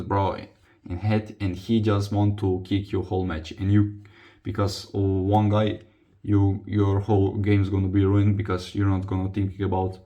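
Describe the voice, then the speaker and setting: A man's voice: low voice, dark tone, dull audio. A young man from Croatia with a Croatian accent, chatting casually to camera in non-native English, hesitant as he searches for words. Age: young adult. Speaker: vlogger, football player. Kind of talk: casual confessional chat to camera.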